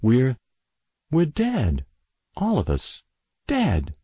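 Guy doing a desperate haunted girl in a ghost story, higher voice, intense and pleading. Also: very animated, slow, husky, dry recording, muffled recording, full character voice. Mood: anguished. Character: desperate haunted girl insisting her family are dead.

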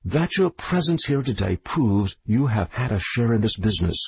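A man's voice: on the low side, big pitch swings, darkish tone, husky, heavily acted. A man doing a stern doctor. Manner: stern, measured. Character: stern middle-aged male doctor questioning.

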